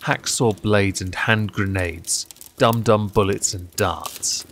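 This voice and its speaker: A man with a fairly deep voice recites poetry at a very slow pace. His phrasing is deliberate and theatrical, with measured pauses. Some noise sits behind the recording. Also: a bit bright. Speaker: poetry reader and channel host. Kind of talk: measured dramatic poetry reading. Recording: clear background noise.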